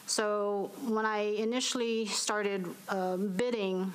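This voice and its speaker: A young adult woman testifying at a public hearing from a podium microphone, speaking haltingly with many fillers and restarts. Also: medium pitch, flat delivery, clear, resonant tone, low-quality recording. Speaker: business owner giving public testimony. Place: municipal hearing room.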